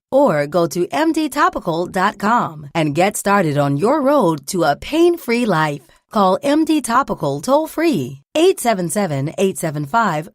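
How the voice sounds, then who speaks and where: A woman's voice: medium pitch, no room sound, studio quality. A woman reading a scripted health product commercial, upbeat and urgent. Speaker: ad voice-over announcer.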